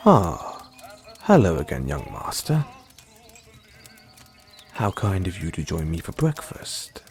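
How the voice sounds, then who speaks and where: A man playing a polite, doting and gently teasing butler in an audio roleplay, speaking in a formal, measured way. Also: low voice, huge pitch swings, creaky, soft background music, heavily acted. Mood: courteous, caring.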